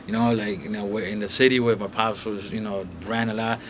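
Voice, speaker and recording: A man's voice: on the low side, level tone, brisk, a little hiss or hum. A young man from Colombia talking casually and bluntly as an interview guest in a recording studio, peppering his speech with profanity and filler phrases.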